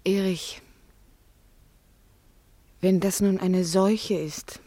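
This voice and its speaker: An actress in a 1950s German radio drama playing a worried doctor's wife, speaking in an earnest, pleading, gently insistent way. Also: female voice, medium pitch, steady tone, very slow, dry recording. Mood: anxious, earnest.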